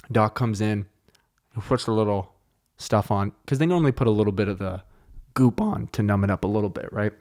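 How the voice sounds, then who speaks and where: A man with an ordinary, middle-pitched voice hosts his own podcast alone, close to the mic. His first language is French. He tells loose, meandering stories in a joking, profane, casual way. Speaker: podcast host. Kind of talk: casual solo podcast rambling. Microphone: close studio mic.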